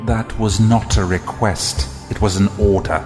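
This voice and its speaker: In a reverberant hall over clear music, an actor plays a scheming male royal in an audio drama. His voice is very low. He speaks with imperious, deliberate menace, as one who expects to be obeyed. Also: steady tone, a little mellow, lightly acted. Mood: stern, commanding.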